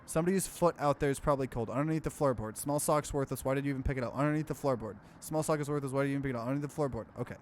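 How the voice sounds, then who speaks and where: A man's voice: medium pitch, rapid-fire, hardly any pauses, a little hiss or hum. A man hosting a let's play, casually commenting and reading game text aloud with expression.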